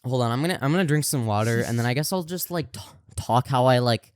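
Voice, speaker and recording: A man co-hosts a casual podcast, talking in a smooth, clear voice that he pushes out to project. He rambles with many fillers and restarts. The sound is dry and close. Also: mid-range voice, fast, no room sound. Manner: projecting voice on camera. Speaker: podcast co-host. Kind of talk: casual chat.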